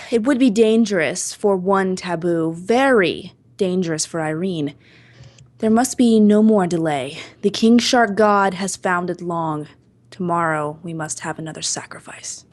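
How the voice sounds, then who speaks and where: A woman's voice: fairly low pitch, unhurried, lightly acted. A woman playing a menacing older Hawaiian mother in an old-time radio melodrama, stern and terse in broken English. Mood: stern, ominous.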